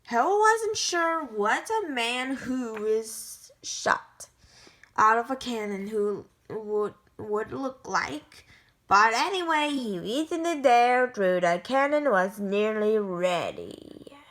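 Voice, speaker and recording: Slow, bright-voiced woman reading a storybook. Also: fairly high pitch, very animated. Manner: careful, halting reading with frequent self-corrections. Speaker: storybook reader. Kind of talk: reading a picture book aloud.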